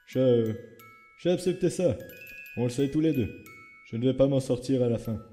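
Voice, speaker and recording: A man voicing a weary, guilt-ridden skeleton hero in a comic dub, speaking in a subdued, halting way. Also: medium pitch, animated, dark tone, faint music behind, lightly acted. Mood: resigned, firm. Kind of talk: dramatic comic dub acting.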